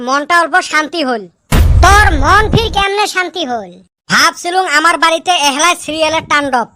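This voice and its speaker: A performer voicing a mischievous, bickering village boy in a comedy cartoon, speaking in the Rajbongshi dialect of northern Bengal. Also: very high voice. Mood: bantering. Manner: colloquial, bantering, complaining.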